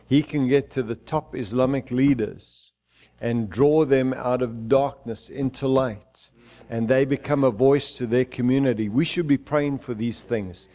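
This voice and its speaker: A middle-aged male pastor from Zimbabwe preaching in a church, fervent and declaiming, though his phrases often stumble and restart. His voice is dark and mellow, sitting at an ordinary man's pitch. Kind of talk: sermon.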